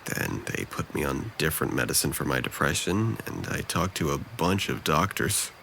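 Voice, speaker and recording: A deep-voiced young man played by a male actor in an audio drama, with a lot of gravel in his throat. He is depressed and guarded, with a dry, sardonic way of talking. There is noticeable noise behind him. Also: expressive, moderate pace, bright, forceful tone, heavily acted. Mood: downcast, confessing. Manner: dry, hesitant, self-deprecating.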